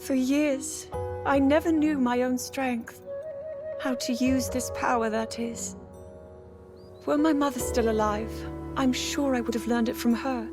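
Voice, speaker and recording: A woman playing an earnest, gentle young princess in a fantasy game, speaking politely and formally. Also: sits high, fairly even intonation, a little slow, over music. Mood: earnest, grateful. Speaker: video game character voice. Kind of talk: dramatic game cutscene acting.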